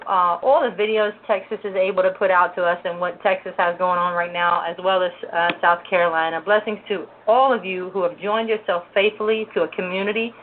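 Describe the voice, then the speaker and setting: A woman hosting a religious talk show for women, greeting listeners and giving prayerful thanks with loose fillers. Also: on the low side. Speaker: host. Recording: noisy, tinny phone-line audio.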